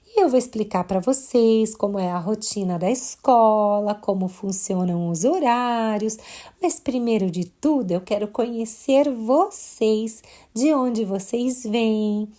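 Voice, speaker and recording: Gentle, mellow owl schoolteacher voiced by a woman. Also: on the high side, unhurried, lightly acted. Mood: kindly, welcoming. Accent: Brazilian Portuguese. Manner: reassuring, kindly, welcoming.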